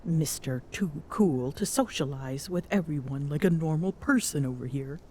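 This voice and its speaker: A woman's voice: sits low, normal speed, mellow, a little hiss or hum, close to an everyday voice. A woman playing a tall Amazonian queen in an intimate ASMR roleplay, smitten and teasing, bossy yet tender, often slipping toward a whisper. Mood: teasing, affectionate. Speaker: voice actor in audio roleplay.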